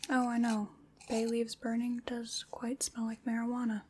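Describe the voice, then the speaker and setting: Whispering witch played by a woman, wry and unhurried. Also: on the high side, completely flat delivery, close to an everyday voice. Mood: dryly amused. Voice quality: soft, breathy whispering. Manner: unhurried, musing, dryly teasing. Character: a female witch casting a ritual, wry, faintly condescending. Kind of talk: ASMR roleplay.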